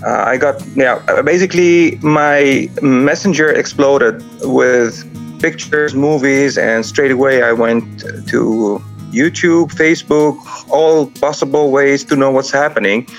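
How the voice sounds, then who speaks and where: A man from Lebanon, guest on a radio interview, telling his story in a lively way. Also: mid-range voice, measured pace, faint music behind. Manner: lively retelling, quoting others. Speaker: guest, volunteer, engineer.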